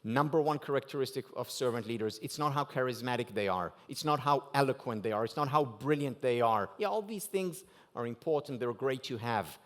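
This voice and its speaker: An Israeli man speaking at a gala, his pitch leaping and falling as he drives his points home. He poses questions to the room and answers them himself, with a mid-range voice and a lively, emphatic delivery. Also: brisk, few pauses. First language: Hebrew. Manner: rhetorical questions with strong rises and drops for emphasis. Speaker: keynote speaker. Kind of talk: motivational keynote lecture. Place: banquet hall at a gala dinner.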